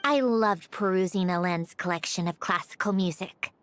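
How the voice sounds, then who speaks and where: A woman's voice: lowish voice, normal speed, slight background noise, close to an everyday voice. An actress playing a proud, prickly young female genius inventor in a video game, self-assured and dry. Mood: wistful. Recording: music playing behind the voice.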